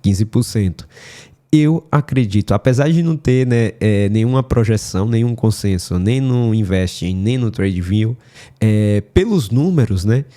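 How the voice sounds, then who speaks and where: A man with a Brazilian Portuguese accent, hoarse from a cold, talking casually through a weekly market analysis and checking in with viewers often. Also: lowish voice, lively intonation, normal speed, dark tone. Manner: casual, addresses viewers often with filler tags.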